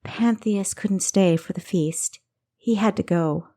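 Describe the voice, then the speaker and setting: A woman voicing a gentle, consoling bride in a storybook reading, measured and placating. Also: medium pitch, close to an everyday voice. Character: consoling bride, gentle sister explaining things.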